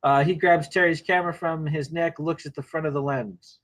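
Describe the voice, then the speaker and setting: A man's voice: fairly high pitch, fairly even intonation, moderate pace, fairly bright tone. A man narrating a screenplay table read, reading action lines aloud informally with wry asides.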